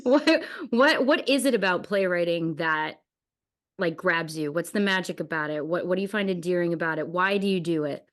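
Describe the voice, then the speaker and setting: A woman's voice: fairly low pitch, expressive, moderate pace. Casual, earnest woman podcast host. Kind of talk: casual conversational interview.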